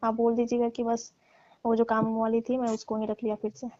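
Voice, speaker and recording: Soft mellow woman, pleading on a dull roomy phone recording. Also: fairly high pitch, monotone, a little fast. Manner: pleading, deferential. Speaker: domestic worker on a call with employer. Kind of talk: private phone conversation.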